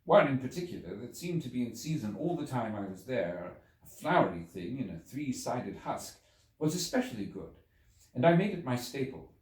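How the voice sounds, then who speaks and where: A man narrates a literary story in a roomy, echoing space, sitting a little back from the microphone. His medium-pitched voice moves in wide, lively swings. He reads in a measured, theatrical way, setting phrases apart with deliberate pauses. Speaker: audiobook narrator. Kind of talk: dramatic literary story reading. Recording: roomy echo, distant from microphone.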